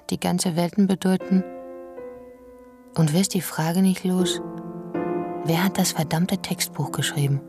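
An actress delivering a bitter, deliberate literary monologue as a brooding inner voice in a radio drama. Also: female voice, fairly low pitch. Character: brooding, bitter female inner voice narrating in theatre imagery.

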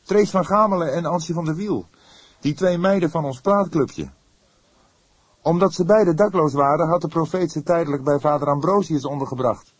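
A man voicing an anxious, excitable young man in an audiobook, earnest, breathless and insistent as he reports to the police. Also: on the high side, moderate pace, breathy edge, no echo, voice pushed up. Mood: agitated, breathless.

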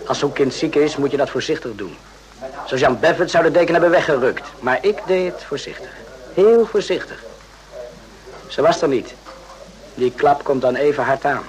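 An actor playing a gentle, remorseful army subordinate in a radio play, speaking carefully and reflectively. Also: male voice, on the high side, animated, moderate pace, pauses often, close to an everyday voice, low-quality recording. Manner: careful, reflective, short repeated phrases.

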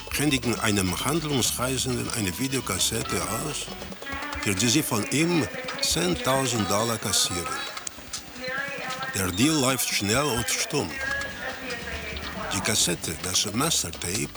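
A detached male narrator telling a grim crime story for radio. He speaks slowly and deliberately in a lowish, gravelly voice. Terse sentences are laid over loud music. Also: lively intonation. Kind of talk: scripted radio drama narration. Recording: music under the voice.

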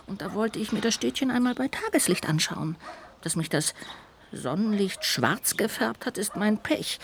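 A woman voicing a small, contrite, childlike ghost in a children's radio play, earnest, lamenting and expressive. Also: sits low, slight background noise. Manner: expressive, lamenting, swinging between exclamations and hushed confiding.

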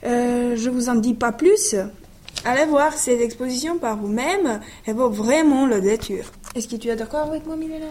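Mellow-voiced woman radio presenter. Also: on the high side, normal speed. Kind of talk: read narration and review.

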